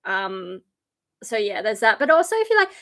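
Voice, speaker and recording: A woman's voice: mid-range voice, big pitch swings, moderate pace, very noisy. A tired woman streamer and metal vocalist chatting casually, explaining screams with lots of fillers and harsh scream demonstrations. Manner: explains loosely with many fillers, breaks into harsh unvoiced scream demos. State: tired, voice worn to fry.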